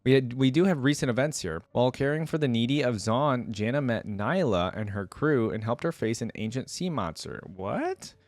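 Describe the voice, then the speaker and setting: A man's voice: mid-range voice, expressive, normal speed, hardly any pauses, darkish tone, dry recording. A man doing casual reaction commentary and reading aloud, with an enthusiastic, slangy, chatty way of talking to viewers. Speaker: reaction video creator.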